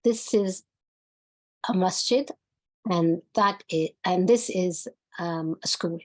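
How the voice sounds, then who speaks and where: Female learner, slow hesitant reading, video call. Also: fairly low pitch, a little mellow. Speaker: student. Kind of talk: reading aloud in class.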